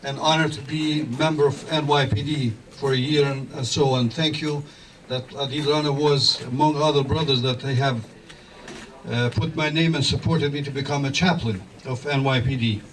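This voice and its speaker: A chaplain whose first language is Albanian, giving an earnest closing speech and prayer at a ceremony. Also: male voice, mid-range voice, fairly even intonation, moderate pace, far from the mic, a little hiss or hum, poor audio. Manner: earnest, blessing-filled delivery.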